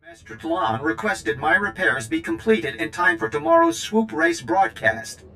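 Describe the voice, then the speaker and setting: A man's voice: high voice, huge pitch swings, measured pace, bright, forceful tone, slight background noise, heavily acted. A man voicing a fussy, demanding servant droid in a video game. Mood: officious.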